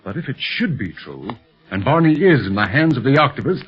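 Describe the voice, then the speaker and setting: A man playing a calm, sharp-minded secret agent and fatherly uncle in a 1930s radio serial, reasoning in a measured, reassuring way. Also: mid-range voice, slightly rough. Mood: serious, deliberate. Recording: muffled vintage 1930s radio recording.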